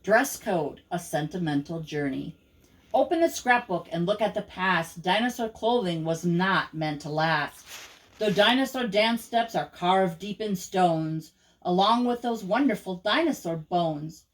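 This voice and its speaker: An older woman reading children's rhyming verse aloud as a grandmother would at storytime, with a measured, rhythmic delivery. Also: lowish voice, lively intonation, rough recording.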